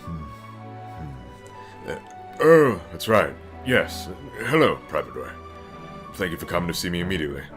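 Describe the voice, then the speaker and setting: An actor playing a busy, distracted knight captain who gives orders. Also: male voice, low voice, very animated, normal speed, pauses often, faint music behind. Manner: distracted, commanding. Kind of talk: fan audio drama.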